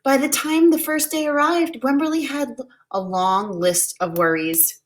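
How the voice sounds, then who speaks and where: Higher-pitched woman reading a children's storybook. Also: measured pace, slight background noise. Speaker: preschool teacher reading a picture book aloud. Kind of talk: children's storybook read-aloud.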